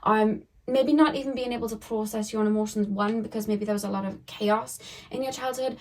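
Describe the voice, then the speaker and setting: A woman giving a casual tarot reading to her viewers. Her voice is hoarse and croaky, and she talks in a chatty, rambling way, full of "um" and "like". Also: mid-range voice, fairly even intonation, brisk, a little mellow. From United Kingdom. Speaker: tarot card reader.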